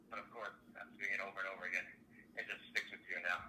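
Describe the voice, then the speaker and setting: A man's voice: low voice, little pitch movement, very fast, bright, forceful tone, far from the mic, a little hiss or hum, poor audio. A man in his thirties whose first language is French, answering interview questions with eager enthusiasm and often repeating words. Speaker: musical theatre actor, guest.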